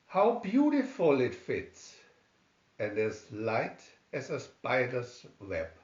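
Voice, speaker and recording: A man's voice: mid-range voice, wildly swooping pitch, slow pace, a little mellow. A man voicing a sly storybook swindler posing as a weaver, with showy, ingratiating sales patter. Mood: flattering. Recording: roomy, dull lo-fi home recording.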